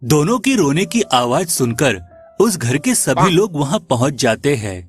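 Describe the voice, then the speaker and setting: Male narrator for animated folk tales; scripted storytelling, fairly high register, light music bed. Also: a little slow.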